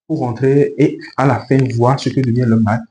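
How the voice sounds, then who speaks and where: A man with a Beninese accent speaks as an interview guest at a middle pitch. He explains his points earnestly, one after another. The room is audibly echoey, with a faint layer of noise behind him. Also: fairly even intonation, moderate pace, a little mellow. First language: a local language of Benin. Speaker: interview guest, fashion professional. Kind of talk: spontaneous interview answers with advice. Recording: roomy echo with faint background noise.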